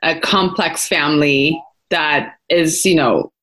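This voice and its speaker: A woman's voice: deep voice, slow pace, fairly bright tone, rough recording. A young adult woman from Ethiopia, a company founder, talking casually as an interview guest, thoughtful and full of fillers and restarts.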